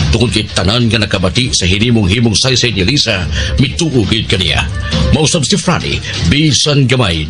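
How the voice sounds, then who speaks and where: A man giving dramatic, scripted narration as the storyteller of a Philippine radio drama. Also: fairly low pitch. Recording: loud music bed under narration.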